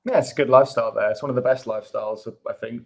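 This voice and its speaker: A man from England, a guest on a podcast, chatting in a relaxed, offhand way. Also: mid-range voice, racing, creaky, crowd noise. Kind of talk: casual chat.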